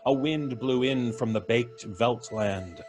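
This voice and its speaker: A man narrating a classic tale in a measured, vivid way for a live audience show. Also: mid-range voice, a little mellow. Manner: measured, vivid, lingering on sensory details. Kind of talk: dramatic live story narration. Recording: live stage recording with faint music.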